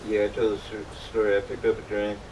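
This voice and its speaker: A man hosting a casual chat, speaking haltingly and trailing off. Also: lowish voice. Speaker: show host. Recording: distant mic with steady background noise.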